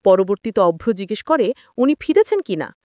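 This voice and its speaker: A woman's voice: mid-range voice, sing-song, brisk, warm tone, no echo. A woman reading a scripted story recap of a TV drama, moving from scene to scene. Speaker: narrator of TV serial episode recaps. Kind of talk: scripted story recap narration with acted-out dialogue.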